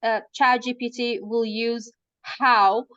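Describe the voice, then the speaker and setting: Higher-pitched woman, Farsi native, explaining patiently over a dry, muffled call line. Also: flat delivery, takes it slowly, fairly bright tone, no echo. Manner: explanatory, frequent fillers. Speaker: coach. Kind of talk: live online training.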